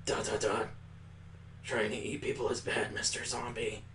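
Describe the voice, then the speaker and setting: A man voicing a dazed, deadpan, odd little girl from a visual novel, muttering flatly. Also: mid-range voice, moderate pace, pitched below the performer's own voice, close to an everyday voice. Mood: solemn. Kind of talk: visual novel character reading.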